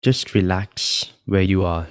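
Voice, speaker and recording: Deep-voiced man slowly guiding a meditation. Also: smooth, no echo. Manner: calm, measured, with deliberate pauses. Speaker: meditation guide, podcast host. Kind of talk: guided meditation, calm spiritual instruction.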